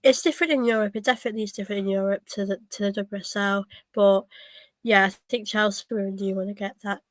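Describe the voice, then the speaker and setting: A woman's voice: medium pitch, fairly even intonation, fast, dry recording. Woman with a cold, chatty live match talk. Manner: hesitant, many ums and restarts, chatty. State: has a cold, coughing. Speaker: fan watchalong host. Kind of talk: casual live match commentary.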